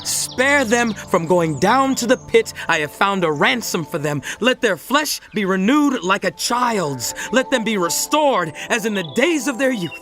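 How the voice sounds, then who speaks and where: An actor playing an earnest, righteous biblical orator in a dramatized audio Bible, speaking emphatically with deliberate, rhetorical pauses. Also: male voice, high voice, full character voice. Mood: fervent. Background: music.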